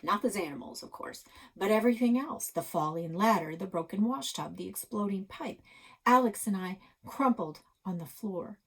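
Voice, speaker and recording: A woman reading a children's story aloud as a dramatic narrator, expressive and suspenseful. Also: mid-range voice, moderate pace.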